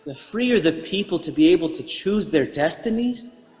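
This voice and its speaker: Man acting a courteous, higher-voiced young gentleman. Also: animated, natural voice. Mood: courteous, earnest. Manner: polite, measured, formal. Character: courteous, idealistic, composed young gentleman back from Europe. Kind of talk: radio drama acting. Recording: long hall reverb, music underneath.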